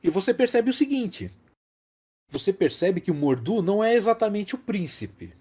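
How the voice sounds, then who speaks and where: A man's voice: sits high, sing-song, moderate pace, mellow, breathy edge. A Brazilian man co-hosting a casual film discussion, explaining things emphatically and repeating key phrases. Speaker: podcast host.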